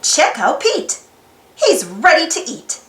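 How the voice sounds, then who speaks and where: A woman narrating a children's storybook aloud in an expressive, sing-song way for young listeners. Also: sits high, slow. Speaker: storybook reader and channel host. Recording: roomy echo with faint music. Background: faint music.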